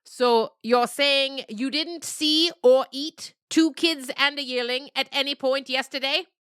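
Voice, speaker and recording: A woman's voice: sits high, unhurried, fairly bright tone, no echo, pitched above the performer's own voice. A woman voicing a bossy mother nanny goat who leads the herd. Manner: bossy, commanding.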